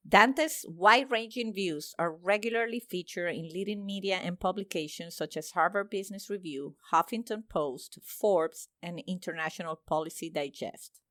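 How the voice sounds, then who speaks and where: A woman's voice: on the low side, a bit bright, dry recording, studio quality. A woman hosting a podcast interview, gushing with praise and often restarting her sentences. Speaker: podcast host.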